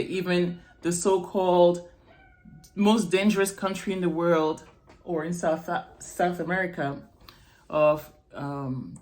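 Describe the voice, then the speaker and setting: A woman from Morocco speaking off the cuff to camera as a video blogger, explaining things and checking in with the listener. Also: lowish voice. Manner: explanatory, checks in with "okay?" and "right?". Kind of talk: unrehearsed personal talk to camera.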